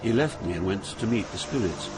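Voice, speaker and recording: An older actor with a British accent playing a grave poet, giving solemn, measured narration in an audio drama. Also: male voice, fairly low pitch, animated, loud music behind the voice, close to an everyday voice, poor audio. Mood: tense recollection. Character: grave older poet recounting his journey through hell.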